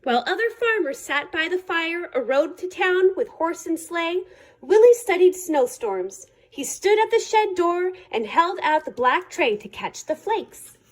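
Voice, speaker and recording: A woman reading a children's picture book aloud in an expressive storytelling style, with measured, dramatic phrasing. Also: extremely high pitch. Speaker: reader of a children's picture book, library staff.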